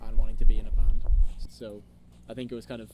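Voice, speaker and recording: A man's voice: lowish voice, level tone, fast. A young man with an Irish accent, a band member speaking in a laid-back, self-deprecating way. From Ireland. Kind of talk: casual interview. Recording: noisy room.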